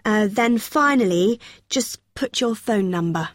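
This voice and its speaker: Young woman at a student union desk, friendly n helpful, explains stuff, medium pitch, dry close sound. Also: expressive, a little slow, dry recording. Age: young adult. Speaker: student union helper in a listening exercise. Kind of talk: acted everyday dialogue.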